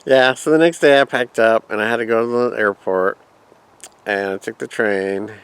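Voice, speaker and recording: A man's voice: fairly low pitch, fairly even intonation, normal speed, clear, resonant tone. A man casually telling a rambling personal travel story, reminiscing and quoting his own past thoughts. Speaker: vlogger.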